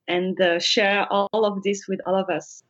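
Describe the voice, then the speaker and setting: A woman's voice: mid-range voice, level tone, a little slow, fairly bright tone. A woman, a dancer telling her story in casual, filler-heavy speech. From France, Moroccan family. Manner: chatty, many fillers and restarts. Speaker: dancer, podcast guest. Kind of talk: casual interview. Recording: dull lo-fi sound.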